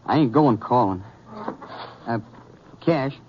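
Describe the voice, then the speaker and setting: Casting: stubborn young Westerner, rural American drawl, mid-range voice, lo-fi old-time radio drama. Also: male voice, medium pitch, lively intonation, takes it slowly. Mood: sheepish, eager. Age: young adult. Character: headstrong, defiant young cowboy, sheriff's younger brother. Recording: muffled vintage radio recording.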